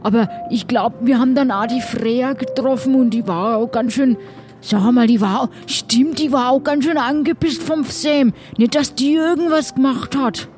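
Woman playing chatty, high-voiced young-sounding male pirate. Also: normal speed, darkish tone, faint music behind, natural voice. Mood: chatty, cheerful. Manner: rambling, colloquial, hesitant. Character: Kalle, chatty, loyal, naive male pirate. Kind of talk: improvised pirate adventure role-play.

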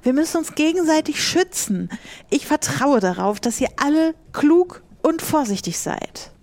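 Woman as protective crew leader, slightly high voice. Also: expressive, a little slow, darkish tone. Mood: caring, encouraging. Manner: protective, instructive. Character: motherly, cautious crew leader briefing seafarers. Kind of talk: improvised audio drama role-play.

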